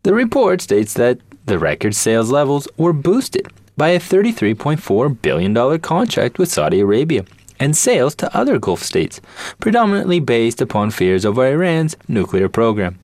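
A man delivers a scripted radio news report at a medium pitch. His intonation moves up and down a lot, and the sound is dry. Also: big pitch swings, normal speed, darkish tone, no echo.

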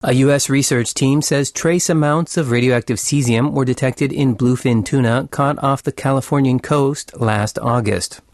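A man's voice: mid-range voice, normal speed, hardly any pauses, dry recording, studio quality. A man reading a scripted radio news bulletin as a news reader.

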